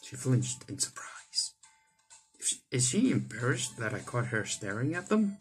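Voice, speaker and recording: A man's voice: medium pitch, very animated, measured pace, rough recording. A young man reading visual novel text aloud with a dramatic delivery, stumbling over words now and then. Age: young adult. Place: indoor room, on webcam. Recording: game music behind the voice. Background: visual novel game music.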